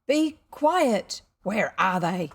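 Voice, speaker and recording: A woman's voice: lowish voice, steady tone, moderate pace, gravelly, husky, voice pushed down, exaggerated voice. A woman voicing an exasperated father in a storybook, bellowing impatient orders at his son. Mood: angry, impatient. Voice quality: loud, bellowing.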